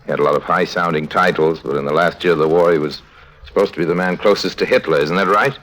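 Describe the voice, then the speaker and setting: An actor in a 1950s radio drama playing a smooth, calculating con man, conversational and insinuating. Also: sits low, fairly even intonation, quick pace, fairly bright tone, rough recording. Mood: pressing, knowing. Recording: vintage 1950s radio recording.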